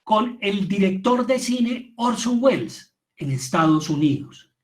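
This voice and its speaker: High-pitched Colombian man lecturing. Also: very animated, takes it slowly. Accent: Colombian Spanish. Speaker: lecturer, theatre and radio drama maker. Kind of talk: informal online lecture on audio fiction.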